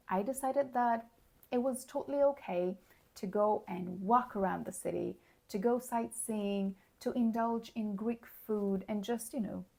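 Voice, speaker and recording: A hoarse woman giving a slow, clear English lesson, explaining words plainly and checking in with the listener. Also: mid-range voice, darkish tone. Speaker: English teacher. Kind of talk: slow, clear teaching monologue.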